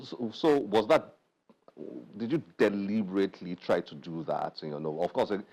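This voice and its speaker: Tv book show host guy, slightly low voice, friendly, laughs a lot. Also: moderate pace, darkish tone. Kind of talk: interview questions. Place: TV studio.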